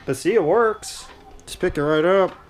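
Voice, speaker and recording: A man's voice: sits high, moderate pace, very noisy. A young man hosting a casual hobby show-and-tell, rambling informally as he addresses his viewers. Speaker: hobbyist video host.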